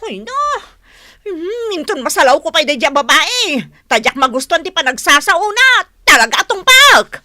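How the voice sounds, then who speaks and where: Shrill, vain village gossip. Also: female voice, sing-song, moderate pace, bright, forceful tone, no room sound, studio quality, exaggerated voice. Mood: indignant. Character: sharp-tongued, vain village woman, quick to take offence. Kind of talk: Ilocano radio comedy drama acting.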